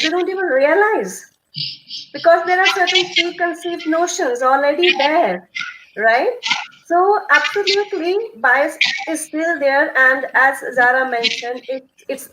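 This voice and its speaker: A woman speaking as a panelist in an online discussion, explaining and often checking in with "right?". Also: high voice. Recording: echoey room with clear background noise.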